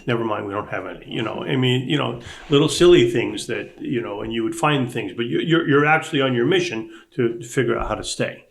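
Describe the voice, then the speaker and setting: A man in a casual panel discussion, explaining haltingly and repeating himself as he goes. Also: medium pitch, gravelly.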